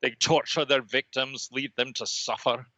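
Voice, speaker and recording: A man voicing an ominous, patient being of energy, speaking in a measured, solemn and portentous way on a comedy podcast. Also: medium pitch, level tone, sharp tone, dry recording, lo-fi sound, natural voice. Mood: solemn, grave. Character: ominous, patient red-dot energy being, cryptic prophet.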